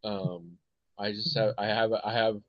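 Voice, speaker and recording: An American man hosts a podcast in a loose, rambling way, stopping and restarting his sentences and filling pauses with ums. His voice sits on the low side, and the recording sounds dry and a little dull. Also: fairly even intonation, no echo. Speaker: podcast host. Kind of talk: casual chat.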